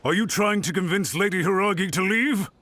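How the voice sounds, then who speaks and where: An actor playing an anxious clan official in a video game, formal, flustered and pleading. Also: male voice, sits high, brisk, bright, forceful tone. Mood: suspicious. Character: anxious male clan official, fretful and apologetic.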